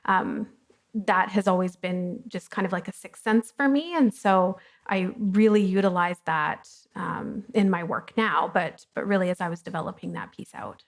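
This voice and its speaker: A woman's voice: fairly low pitch, moderate pace, creaky. A woman guest at a coworking fireside chat, talking candidly and casually about her career, with plenty of ums. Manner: chatty and candid, with frequent ums. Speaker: guest, company co-founder. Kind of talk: casual conversational interview. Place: coworking studio, fireside chat before an audience.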